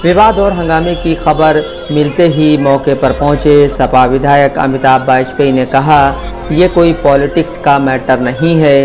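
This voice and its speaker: A man's voice: fairly high pitch, steady tone, unhurried. A man reading a scripted news voice-over as narrator. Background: music.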